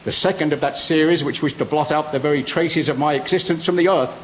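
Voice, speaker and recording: A man's voice: on the high side, fast talker, a little hiss or hum, close to an everyday voice, low-quality recording. An actor playing a frightened, desperate young male prisoner in a melodramatic, theatrical radio drama. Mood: grim dread. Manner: anguished, theatrical.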